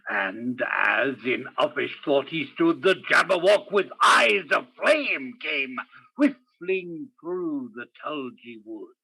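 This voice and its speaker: A grand old-time radio storyteller, acted by a man, recites verse with theatrical drama. The delivery is slow and swoops widely in pitch. The voice is rough and husky, moving between hush and roar. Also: sing-song, full character voice, low-quality recording. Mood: suspenseful. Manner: dramatic, swings from whispers to shouts.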